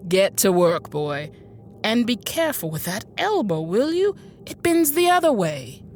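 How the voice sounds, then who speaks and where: A woman's voice: mid-range voice, big pitch swings, a little slow, a little mellow, slight background noise. An actress playing a prim, genteel woman in an audio drama, confiding her story in a hesitant, rambling, over-polite way. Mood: bossy, brisk. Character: prim, fussy, genteel woman recounting a dark tale. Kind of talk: audio drama acting, confiding first-person narration.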